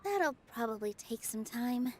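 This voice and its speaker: A woman voicing a gentle, friendly young dancer in a video game, speaking politely and earnestly. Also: fairly high pitch, expressive, measured pace, a little hiss or hum. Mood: thoughtful. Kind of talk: video game character dialogue. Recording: background music.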